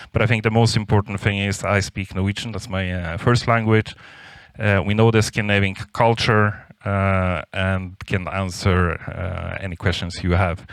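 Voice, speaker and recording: A man's voice: on the low side, smooth, no room sound. A man from Poland giving a business seminar talk, hesitant with many fillers and restarts. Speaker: business presenter. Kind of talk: seminar presentation. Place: conference room.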